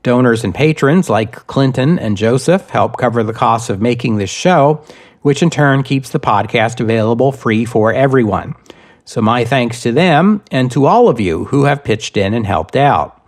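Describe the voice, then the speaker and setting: A man hosts a scripted history podcast. His mid-pitched voice moves through the material in measured, deliberate phrases, as a narrator reading prepared text. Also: lively intonation.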